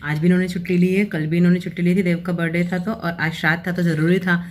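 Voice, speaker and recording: A woman's voice: fairly low pitch, little pitch movement, fast. Chatty woman vlogging at home. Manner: talkative, explains at length, rambling asides. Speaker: family vlogger. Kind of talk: casual chatty vlog.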